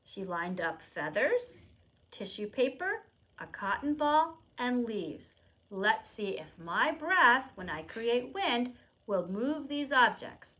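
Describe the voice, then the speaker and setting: A woman's voice: on the high side, sing-song, slow pace, pushed and bright, poor audio. A woman leading a storytime lesson for small children, explaining in simple repeated phrases and prompting them to join in. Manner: refers to self in third person. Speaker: preschool storytime presenter at a public library. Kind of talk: children's lesson, demonstrating to young viewers at home.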